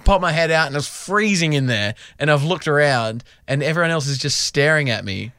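Self-mocking Australian man, fairly high voice. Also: fairly quick, clear, resonant tone, no echo, clean studio sound. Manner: self-mocking storyteller, animated. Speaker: radio show co-host. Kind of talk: casual radio banter. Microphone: studio microphone.

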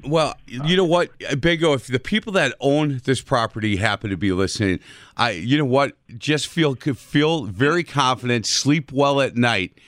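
A man's voice: mid-range voice, expressive, normal speed, fairly bright tone, no echo. Chatty radio host man, dry studio sound. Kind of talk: casual radio talk.

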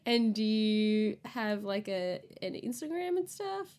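Woman with a soft aussie accent, giggly and hesitant, lots of "like"s, casual podcast chat. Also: mid-range voice, sing-song, takes it slowly. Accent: mild Australian. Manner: giggly, hesitant, many fillers. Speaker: podcast co-host.

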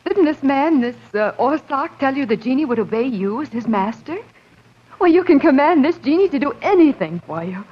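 An actress playing an eager, ambitious fiancée, husky and persuasive, in 1940s radio drama style. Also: female voice, sits high, lively intonation, normal speed. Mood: thrilled, coaxing. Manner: gushing, persuasive, soothing. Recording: dull 1940s radio recording.

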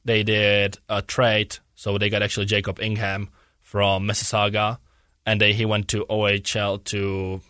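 A man's voice: fairly low pitch, monotone, moderate pace, bright, forceful tone, no echo. Young man, English, earnest and rambling, dry close sound. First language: Czech. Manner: searches for words in a second language, rambling and earnest. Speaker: guest, hockey player. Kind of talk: casual interview.

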